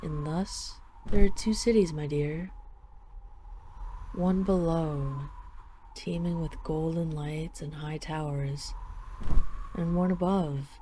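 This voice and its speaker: A woman playing a dragon queen who is tender, gently teasing and possessive in an intimate roleplay audio drama. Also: low-pitched, slight background noise. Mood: playful, dreamy. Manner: affectionate, gently teasing, wistful.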